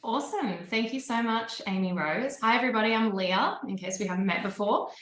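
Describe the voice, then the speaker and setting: A woman's voice: a little high, fairly quick, a bit bright, roomy sound, slight background noise. A woman with an Australian accent moderating an online panel, chatty and upbeat with many ums. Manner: chatty, upbeat, frequent ums and light laughs.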